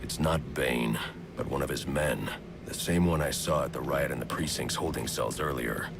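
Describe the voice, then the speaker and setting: An actor playing a grim, brooding vigilante detective in a video game, terse and deadpan with a gravelly voice. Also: male voice, extremely low pitch, normal speed, noisy background. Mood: grim, analytical. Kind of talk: video game voice acting, investigative monologue. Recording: loud music underneath.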